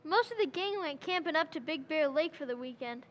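A woman performing a whiny teenage boy in an old-time radio comedy, sulky and quick to talk back. The voice is very high, and he sounds young. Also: lively intonation, a bit bright.

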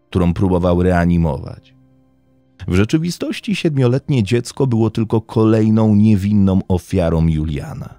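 A man's voice: sits low, dark tone, no room sound. A man narrating a scripted true-crime story in a dramatic, suspenseful way, with emphatic openings to his phrases. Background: music bed under the voice.

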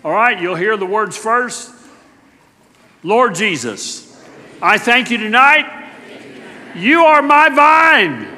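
A middle-aged man preaching a sermon to a church congregation, talking emphatically and swinging between loud declarations and hushed asides. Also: high voice, lively intonation, slow, long pauses, in a large hall. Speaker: pastor. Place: church sanctuary.

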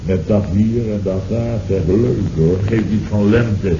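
Blustering middle-aged boss, excitable, repetitive, old radio comedy, dull noisy recording. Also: male voice, fairly low pitch, a little slow, echoey room. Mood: gushing. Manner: blustering, repeats himself, hearty exclamations. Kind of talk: Dutch radio comedy acting, circa 1970.

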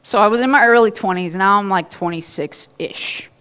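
A woman's voice: medium pitch, normal speed, clear, resonant tone. Chatty woman pastor preaching on stage. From Ohio. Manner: chatty, animated storytelling with laughs and asides. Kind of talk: sermon with personal story. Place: church stage, indoors.

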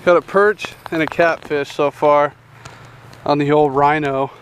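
Excited young man fishing at a pond. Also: on the high side, measured pace, slight background noise, poor audio. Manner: excited, chatty, says "dude" and "guys" a lot. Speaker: fishing channel host. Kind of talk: casual fishing vlog narration. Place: outdoors at a rural pond. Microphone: head-mounted action camera.

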